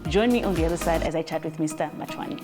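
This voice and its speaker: A woman's voice: low-pitched, racing, over music. A woman hosting a conversational interview, upbeat and asking encouraging questions. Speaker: interview host.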